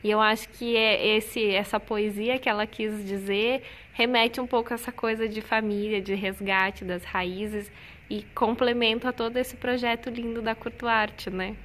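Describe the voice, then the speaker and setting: A woman's voice: on the high side, steady tone, moderate pace, a little hiss or hum. Woman interviewer from southern Brazil. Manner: warm affirming, builds on the guest's words. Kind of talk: casual conversational interview.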